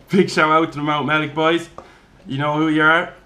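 Bright-voiced young Irish man hosting. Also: fairly high pitch, flat delivery, measured pace. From Ireland. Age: 20s. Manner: easygoing, chuckles often, loose with fillers. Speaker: podcast host. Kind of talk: casual chat, telling his own story. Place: kitchen of a flat, indoors.

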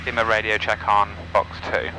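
Young guy pilot doing quick radio calls, lowish voice, noisy cockpit headset. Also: level tone, creaky, sharp tone. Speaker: private pilot. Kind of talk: clipped aviation radio calls. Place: inside a small aircraft in flight. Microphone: aviation headset. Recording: noisy light aircraft cockpit intercom. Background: engine and radio noise.

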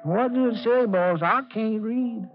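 A man's voice: sits high, measured pace. A man playing a fearful, folksy rural farmhand with an exaggerated Southern US drawl in a 1940s radio drama. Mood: frightened. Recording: muffled vintage radio recording.

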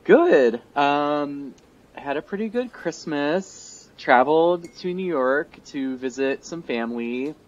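A man's voice: on the high side, expressive, slow. A man in his thirties co-hosting a casual podcast chat over a video call, a little congested, chatty and often laughing. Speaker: podcast co-host. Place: home room, indoors. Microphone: headset on a video call. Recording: muffled lo-fi video call audio.

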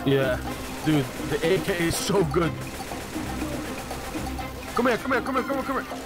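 Young male gamer, high lively voice, jokey banter over game music. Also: normal speed. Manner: excitable, jokey, giggly. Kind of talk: co-op gameplay banter.

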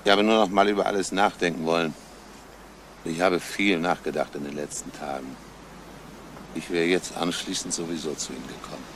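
An actor playing a despairing, self-justifying schoolteacher in a halting, brooding confession, in a crime radio play. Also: male voice, low-pitched, fairly even intonation, fairly bright tone. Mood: resigned. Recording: noisy old radio play recording.

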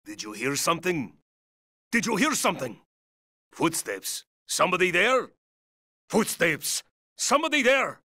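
Alert, gruff soldier guard, video game barks, forceful delivery, very dry close sound. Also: male voice, sits high, sing-song, a little slow, pauses often, no echo, full character voice. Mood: suspicious. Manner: terse, barking.

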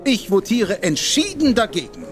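An actor playing a proud, protective detective who speaks emphatically and with indignation. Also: male voice, sits high, big pitch swings, moderate pace, faint music behind. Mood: indignant, lively. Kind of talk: German audio drama acting. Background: faint background score.

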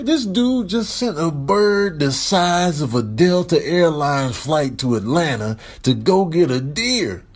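Male comedy voiceover, exasperated and exclamatory, big pitch swings. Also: breathy edge. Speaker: comedian narrating viral clips. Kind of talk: comic commentary voiceover.

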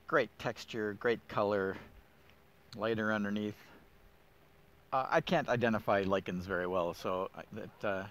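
A man's voice: on the low side, fairly bright tone. Enthusiastic middle-aged man outdoors. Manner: enthusiastic, thinks aloud with fillers. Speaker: woodland steward host. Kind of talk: casual nature walk guide. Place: winter woods outdoors.

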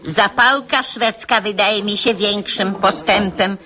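A woman's voice: mid-range voice, takes it slowly, pushed and bright. An older woman acting a pious, scolding yet loving old grandmother in a radio drama. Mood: stern, disapproving. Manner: old-fashioned, admonishing turns of phrase.

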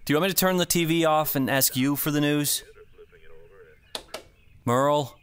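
A man's voice: a little high, moderate pace, a bit bright, slight background noise, lightly acted. An actor playing a flustered, defensive homeowner arguing with his smart speaker in a comedy radio play. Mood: anxious. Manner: defensive, awkward, hesitant. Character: flustered young man, Frank.

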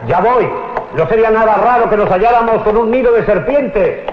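An actor playing a sceptical, loyal sailor and first mate in a radio drama, dutiful but grumbling. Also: male voice, high voice, fairly quick, lightly acted. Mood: wary, reluctant. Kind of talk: 1980s Spanish radio drama acting. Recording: muffled, noisy 1980s radio recording.

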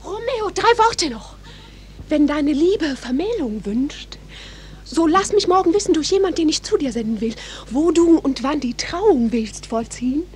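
A woman playing an ardent, anxious girl in love in a 1940s radio play, speaking classical verse in a breathy, hushed declamation. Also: high-pitched, expressive, unhurried. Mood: excited, yearning. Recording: hissy 1940s radio recording.

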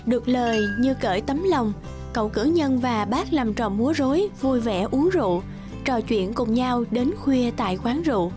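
A woman's voice: fairly high pitch, lively intonation, moderate pace, music in the background. A woman narrating a scripted fairy tale for children.

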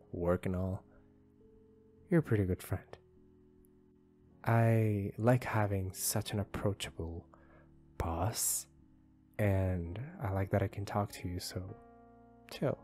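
A man acts out a bashful young male employee with a crush in an ASMR roleplay. The voice is low and very unhurried, soft and hesitant, full of apology. Guitar music plays behind it. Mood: earnest, shy. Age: young adult. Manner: hesitant, soft-spoken, halting. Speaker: voice actor. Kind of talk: ASMR roleplay, intimate one-sided conversation.

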